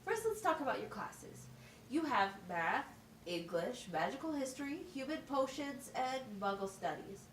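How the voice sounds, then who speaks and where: High, lively woman as encouraging teacher puppet. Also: normal speed. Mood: encouraging, instructive. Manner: instructive, question-led, plain wording. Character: encouraging, methodical special education teacher puppet. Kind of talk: scripted puppet show. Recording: roomy, distant mic, faint noise.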